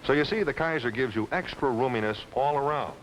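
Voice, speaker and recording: A man's voice: mid-range voice, fairly quick. A man delivering a folksy, chatty 1950s television car commercial as its announcer. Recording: noisy old 1950s television soundtrack.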